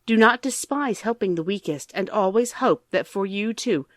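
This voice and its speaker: A loving old grandmother gives tender, pitying advice, as a woman voices her. Her speech rises and falls with feeling, soft and caring. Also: mid-range voice, lively intonation, normal speed, no room sound, studio quality, lightly acted.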